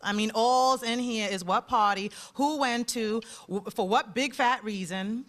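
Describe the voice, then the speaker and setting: A woman's voice: on the high side, measured pace, fairly bright tone. An actress playing a bored, discontented woman in a stage play, grumbling in colloquial speech. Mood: wistful, resentful. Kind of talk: stage play performed for radio.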